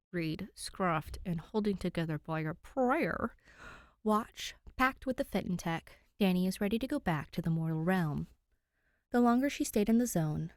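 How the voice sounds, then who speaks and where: A woman's voice: on the low side, a little mellow, dry recording, clean studio sound. A woman hosting and narrating fan fiction, chatting to listeners and reading expressively. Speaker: podfic reader and channel host.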